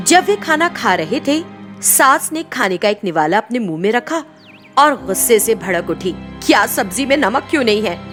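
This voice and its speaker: A woman narrator reading a scripted family story. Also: mid-range voice.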